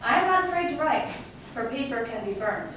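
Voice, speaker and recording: A woman's voice: high-pitched, very animated, a little fast, fairly bright tone. A woman playing a wistful, lovelorn male Elizabethan playwright in a formal, declamatory theatrical monologue in archaic English. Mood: wistful, confiding. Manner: declamatory, formal, poetic delivery. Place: stage, live performance. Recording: echoey noisy stage, recorded from far off.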